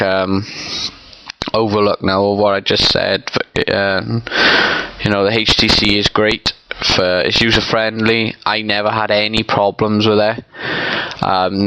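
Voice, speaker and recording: A man's voice: fairly low pitch, pushed and bright, clear, resonant tone, no room sound, poor audio. A young man with a stuffy, congested nose, rambling casually in a vlog with lots of ums and you-knows. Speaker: vlogger. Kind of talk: casual unscripted opinion vlog.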